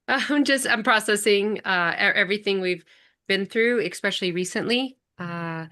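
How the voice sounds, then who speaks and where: A woman hosting a stage conversation who talks haltingly and reflectively, with restarts. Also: medium pitch, sharp tone. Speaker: event host.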